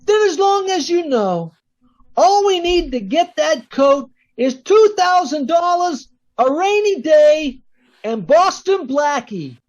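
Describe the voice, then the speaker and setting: Man playing a cocky fur thief, American, slangy tough talk, high swooping voice, slow delivery, old radio crime drama, dull lo-fi sound. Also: sing-song, heavily acted. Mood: cocky, brusque. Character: cocky small-time male fur thief, brusque sweetheart. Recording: dull, lo-fi video-call sound.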